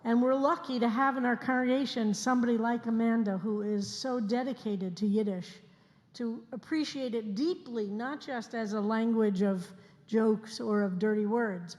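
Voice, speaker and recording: A woman in her sixties, a rabbi, giving an earnest sermon to her congregation and slowing down to stress key phrases. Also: a little high, darkish tone. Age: 60s. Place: synagogue sanctuary, livestreamed service. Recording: long hall reverb.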